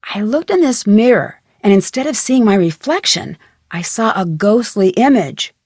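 An actress playing a curious, level-headed young female detective in an adventure game, speaking in an earnest, composed, clearly enunciated way. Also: medium pitch, expressive, moderate pace, darkish tone, dry recording, studio quality. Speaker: voice actor, game protagonist. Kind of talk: scripted adventure game dialogue.

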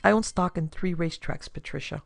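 A narrator voicing a quiet, apologetic older gentleman with a mild, measured manner in an audiobook story. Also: medium pitch, vocal fry, dry recording, soft background music. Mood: mild, explaining.

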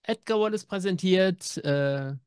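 A man's voice: sits high, moderate pace, clear, resonant tone, no room sound, studio quality. A middle-aged man presenting and chatting casually as co-host of a show from a room at home. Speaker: co-host of an audio-drama news show.

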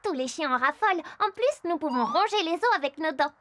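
A woman voicing a cheerful, excited young female dog in a cartoon. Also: extremely high pitch, brisk, a little hiss or hum. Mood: playful. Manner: bubbly, excited. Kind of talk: French cartoon dubbing.

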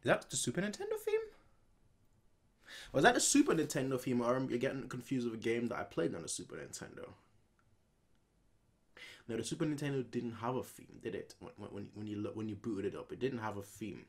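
A man's voice: mid-range voice, sing-song, fairly quick, long pauses, slightly rough. A man in his twenties giving casual, unscripted reaction commentary from a home room, rambling and repeating his phrases. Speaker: reaction video host. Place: small home room indoors.

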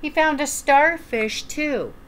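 An older woman reading a children's picture book aloud at home, enunciating deliberately and putting questions to the young listener. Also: high-pitched, a bit bright, a little hiss or hum. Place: small room at home, indoors.

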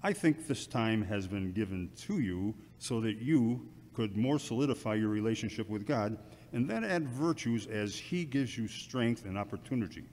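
A man playing a sober, counselling church deacon with a measured, instructive manner in an amateur audio drama. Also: on the low side, lively intonation, a little mellow, natural voice. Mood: sober, advising. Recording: reverberant, faint background ambient noise.

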